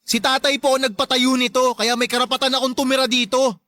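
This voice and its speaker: A wounded, resentful son in a radio drama. His voice is very high and sharp, recorded close and dry. He argues back in an aggrieved, pleading manner. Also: little pitch movement, a little fast, no room sound. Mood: hurt, defiant. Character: hurt, resentful adult son standing up to his mother. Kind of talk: Tagalog radio drama acting.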